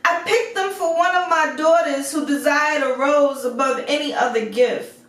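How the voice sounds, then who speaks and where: A woman's voice: high-pitched, normal speed, fairly bright tone, voice pushed up. A woman voicing a humble, frightened merchant father in a storybook, pleading and deferential, in an echoey recording. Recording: echoey room, far from the mic.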